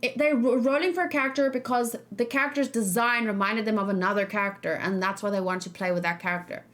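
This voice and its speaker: A woman's voice: medium pitch, steady tone, fast, a bit bright. A woman hosting a livestream, talking casually with her viewers in an emphatic, questioning way, repeating key words for stress. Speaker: streamer hosting a reaction and discussion.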